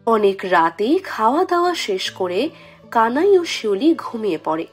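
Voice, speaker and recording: A woman narrates a cartoon fairy tale as storyteller. Her voice is husky and swings widely in pitch through scripted lines, with light music underneath. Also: sing-song, moderate pace.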